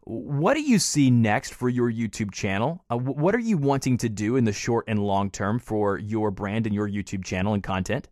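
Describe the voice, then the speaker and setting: A man's voice: fairly low pitch, expressive, moderate pace, few pauses, a little mellow, dry recording, studio quality. A man hosting a podcast interview in a conversational, encouraging way.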